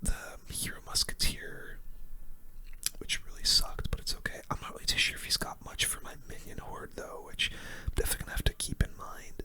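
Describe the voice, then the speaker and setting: A gaming video host whispering ASMR commentary in a casual, chatty way with gamer slang. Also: brisk. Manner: hushed, whispering throughout.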